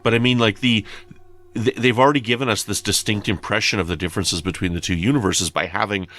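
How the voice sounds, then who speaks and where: Man, low-pitched voice, casual spoken review, conversational, hesitant, thinking aloud. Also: steady tone, fast talker, a bit bright. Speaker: listener giving podcast feedback.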